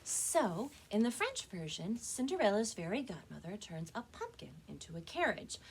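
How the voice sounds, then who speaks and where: Lively, slightly husky woman teaching children. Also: mid-range voice, moderate pace. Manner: friendly direct address to young viewers. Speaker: children's librarian presenting a craft activity. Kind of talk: instructional demonstration for children.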